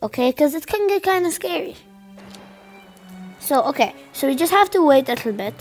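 A woman's voice: high voice, lively intonation, moderate pace, darkish tone. A young teenage girl with a slight cold, chatting to viewers while playing a video game. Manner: chatty, rambling, addresses viewers directly. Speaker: gaming channel co-host. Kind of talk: casual gameplay commentary. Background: game music.

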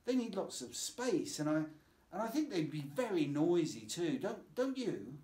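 A man's voice: sits high, very animated, normal speed, warm tone, pitched above the performer's own voice. A man voicing a practical, caring mother who chides and reasons firmly in a children's storybook. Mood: patient persuasion. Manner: chiding, reasoning. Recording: roomy, echoing voice.

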